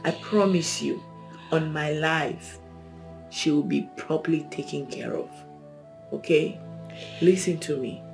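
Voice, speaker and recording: An actress playing a young bride-to-be who speaks earnestly and tenderly to a devoted friend, sometimes in a whisper. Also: female voice, sits low, slow pace, vocal fry, pauses often, a little mellow, faint music behind, heavily acted, rough recording. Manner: earnest, solemn, partly whispered.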